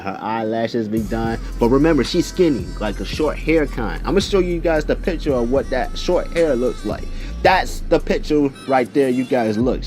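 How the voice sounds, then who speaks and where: Animated young man telling casual stories. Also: mid-range voice. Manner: slangy, animated, conversational asides to listeners. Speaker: YouTube storyteller. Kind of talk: casual storytime chat.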